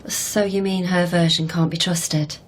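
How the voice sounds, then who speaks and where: A woman's voice: on the low side, level tone, a little slow. A young woman acting a supportive, inquisitive fiancée in a naturalistic radio drama. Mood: questioning. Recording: clear background ambience noise.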